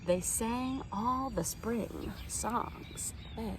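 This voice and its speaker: A woman reading a children's picture book aloud in an expressive, animated storytime style. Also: on the high side, very slow, background noise. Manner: animated, acts out the characters' lines. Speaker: storytime reader.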